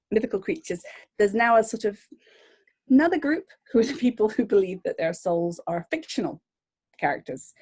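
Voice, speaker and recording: Female journalist guest, husky, thoughtful and hesitant. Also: fairly low pitch, a little mellow. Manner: thoughtful, with frequent "um"s and restarts. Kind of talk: interview answers.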